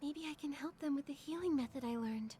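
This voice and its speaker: A woman plays an earnest young healer from a video game. Her voice is high and soft, with a breathy, caring delivery. Faint noise sits in the background. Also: fairly quick, natural voice. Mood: gentle concern. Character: gentle, earnest young female adventurer and healer.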